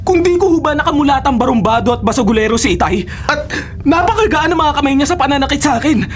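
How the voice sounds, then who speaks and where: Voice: sits high, lively intonation, quick pace, slight background noise. A performer playing a defiant, resentful young male snatcher in a radio drama, arguing sullenly.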